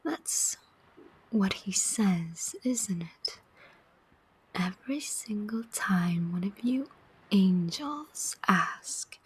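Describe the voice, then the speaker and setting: Casting: woman to play female demon soul collector for ASMR roleplay. Very breathy whisper, extremely unhurried, sardonic, weary, teasing. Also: medium pitch, full character voice, low-quality recording. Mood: challenging, bitter. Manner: slow, deliberate, teasing, pausing often.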